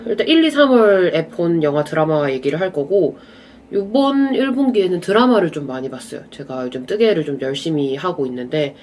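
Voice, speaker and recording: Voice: medium pitch, slight background noise. Stuffy-nosed young vlogger rambling casually. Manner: relaxed, chatty, drifting off into asides. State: slight cold, nasal stuffy voice. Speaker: vlogger reviewing films and dramas. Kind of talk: casual rambling chat to camera.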